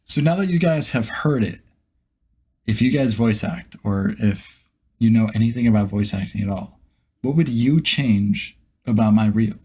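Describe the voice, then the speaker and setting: A man's voice: on the low side, normal speed, darkish tone, husky. A man talking casually and informally as a vlog host, thinking aloud in a self-deprecating way. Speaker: host, beginner voice actor. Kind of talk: casual vlog chat to camera.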